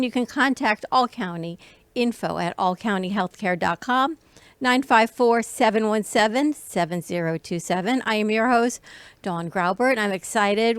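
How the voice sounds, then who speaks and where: Woman hosting a health show, upbeat n polished, crisp voice, dry close sound. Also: mid-range voice, dry recording. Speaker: show host.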